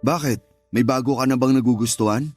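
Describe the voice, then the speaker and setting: An actor playing a firm but considerate older brother in a radio drama. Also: male voice, medium pitch, steady tone, fairly quick, darkish tone, soft background music, lightly acted. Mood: gentle, conciliatory. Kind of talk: Tagalog radio drama acting.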